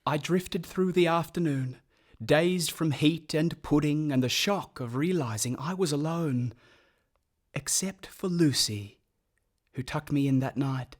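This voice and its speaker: A man's voice: fairly high pitch, a little slow. An actor playing a reflective man narrating memories of his boyhood in a radio play, wistful and literary. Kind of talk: scripted first-person narration.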